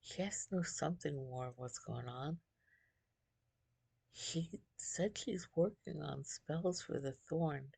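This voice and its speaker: A woman's voice: low-pitched, slow, creaky, darkish tone, slightly rough, dry recording. A woman reading a first-person story aloud as dramatic narration. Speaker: live streamer reading a story. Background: music.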